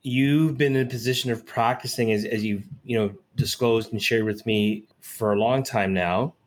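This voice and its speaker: A man's voice: medium pitch, fairly even intonation, moderate pace. A man interviewing a guest, asking careful follow-up questions and restarting words as he goes.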